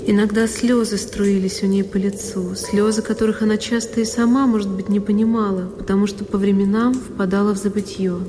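An actress playing a sorrowful young woman who recalls a lonely childhood, in an intimate, deliberate radio monologue. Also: mid-range voice, little pitch movement, background noise, lightly acted. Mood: wistful, pained. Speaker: solo actress. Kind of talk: dramatic literary monologue for radio. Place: studio radio production. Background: music.

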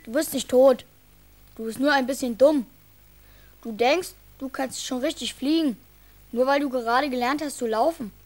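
A woman's voice: sits high, moderate pace, darkish tone, lightly acted. A woman playing a protective, slightly cheeky schoolboy in a radio play, childlike and plain-spoken. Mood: urgent, helpful.

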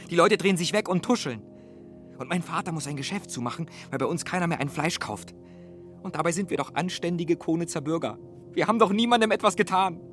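An actor playing an anxious, earnest young man who pleads his innocence haltingly in a radio drama. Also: sits high, fairly bright tone. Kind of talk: German radio drama acting.

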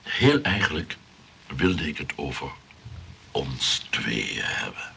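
An actor playing a lonely, pompous suitor in a comic radio play, stammering and repeating himself with dramatic pauses. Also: male voice, rumbling low, very animated, creaky, sharp tone, slight background noise, exaggerated voice. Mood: nervous, hesitant. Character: lonely, pompous, pleading, spiteful male suitor.

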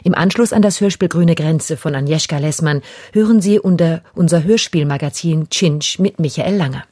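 Mellow, slightly husky female radio announcer. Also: low voice, normal speed, no echo, studio quality. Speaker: radio announcer introducing a radio play. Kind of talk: scripted broadcast introduction. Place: dry broadcast studio.

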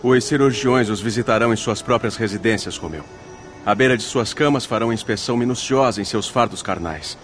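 Guy acting as a calm mission leader in a radio play, medium pitch, formal, faint music behind. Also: fairly quick, natural voice. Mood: composed, purposeful. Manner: formal, methodical explaining. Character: composed, devout male leader of spirit missionaries.

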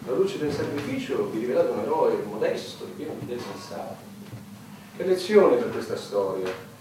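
A man's voice: mid-range voice, very animated, normal speed, close to an everyday voice. Actor as pompous statesman in echoing hall. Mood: grandiose. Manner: formal, pompous. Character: pompous, courteous male head of state at war. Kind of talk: radio play dialogue. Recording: echoey, distant, noisy stage sound.

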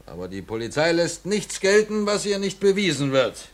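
An actor playing a shrewd male doctor in a 1950s radio play, formal, measured and persuasive. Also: fairly high pitch, big pitch swings. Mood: stern, persuasive.

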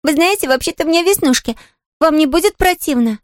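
A high, bright-spirited little girl. She chatters eagerly and courteously, with artless good cheer. The sound is close and dry. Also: animated, quick pace, no room sound. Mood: artless, chatty. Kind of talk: audiobook character voice.

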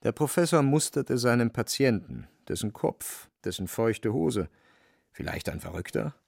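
A man narrating a literary radio play from a script, with a creaky, dry voice. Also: medium pitch, sing-song, unhurried, a little mellow, no room sound.

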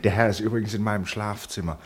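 A low-pitched gentleman sleuth, acted by a man in a radio play. He is shrewd and amused, speaking with ironic, mock-polite authority and a theatrical flair. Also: steady tone, fast, lightly acted. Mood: triumphant. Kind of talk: German radio play acting.

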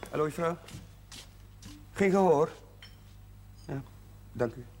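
An actor playing a fussy, flustered village chaplain, agitated and repeating himself, in a television period drama. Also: male voice, fairly high pitch, expressive, slow pace, music in the background. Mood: nervous, desperate. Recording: noisy old television drama soundtrack.

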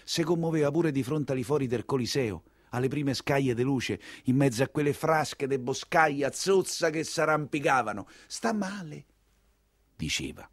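A man's voice: medium pitch, expressive, moderate pace, no echo, clean studio sound, close to an everyday voice. An actor playing an earthy, talkative 19th-century coachman with a Roman accent, telling his tale in a colloquial theatrical dialect monologue, exclaiming and confiding. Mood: wistful. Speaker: stage and radio actor.

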